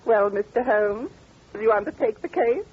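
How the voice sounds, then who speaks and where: An old lo-fi radio recording of a sardonic British duchess who once trod the music-hall stage. Her husky voice moves freely up and down as she speaks with imperious, theatrical assurance and mocking amusement. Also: fairly high pitch, lively intonation, normal speed. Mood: brisk, amused. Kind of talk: old-time radio drama acting.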